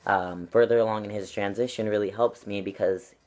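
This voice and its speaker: A man's voice: lowish voice, fairly even intonation, fairly quick, darkish tone. A young man talking earnestly and reflectively to camera at home, with frequent ums and likes. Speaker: channel vlogger. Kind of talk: casual talk to camera. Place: at home with his cat.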